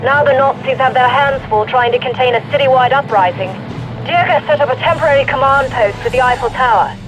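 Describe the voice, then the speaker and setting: Resistance contact doing an urgent war briefing in a game, really high voice, loud music. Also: male voice, a little fast, crowd noise, low-quality recording. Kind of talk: dramatic video game cutscene acting.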